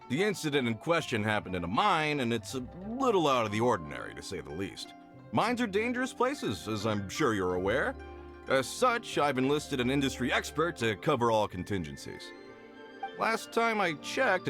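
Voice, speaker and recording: A smooth-talking middle-aged merchant, with a mid-range voice that swings up and down as he sells himself. He is genial and boastful, the way a video game trader is. Soft game music sits faintly behind him. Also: mid-range voice. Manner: salesman-smooth, persuasive, talks himself up.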